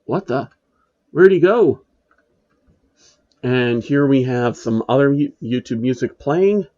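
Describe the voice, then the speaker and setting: A man's voice: medium pitch, animated, slow, muffled recording. A man narrating fan fiction in an amateur way, with casual spoken asides. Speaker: narrator reading fan fiction on his channel.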